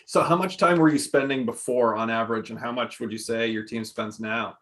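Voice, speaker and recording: Male interviewer, mid-pitched, upbeat and brief, with a relaxed conversational delivery. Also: brisk. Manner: friendly, brief affirmations. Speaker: interviewer from the software company. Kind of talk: casual interview questions.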